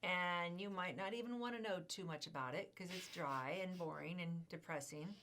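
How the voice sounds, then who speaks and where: A woman co-hosting an insurance news show, talking in a chatty, emphatic way with a slightly hoarse voice. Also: lowish voice, normal speed, a bit bright. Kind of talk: casual chat.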